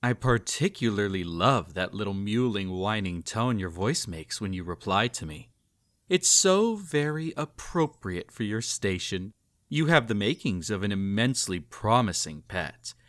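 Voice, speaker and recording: A man's voice: lowish voice, sing-song, unhurried, a bit bright. A man playing a cruel, possessive emperor in a roleplay audio drama, speaking in a formal, pompous, teasing and condescending way. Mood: indulgent, condescending. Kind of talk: scripted roleplay audio drama, intimate address to listener.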